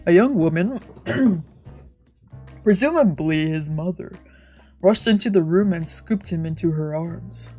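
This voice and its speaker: Female let's play streamer, slow delivery, giggly and casual, narrating a visual novel. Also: lowish voice, warm tone, husky, soft background music. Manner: playful, giggly.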